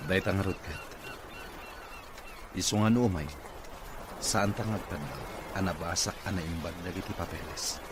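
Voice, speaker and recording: A man's voice: sits low, moderate pace, long pauses, natural voice. A man with a Filipino accent playing a weary, bitter wealthy employer in a wheelchair in a radio drama, in Ilocano acting style. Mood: stern. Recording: noisy, ambient background sound.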